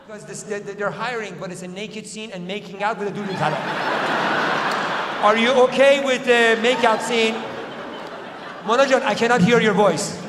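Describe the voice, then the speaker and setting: A man doing stand-up comedy in a theater, teasing audience members and bursting into shouts. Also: sits high, fairly even intonation, normal speed, pauses often, heavy background noise. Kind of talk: stand-up crowd work. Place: large theater with live audience. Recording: echoey theater hall, loud crowd.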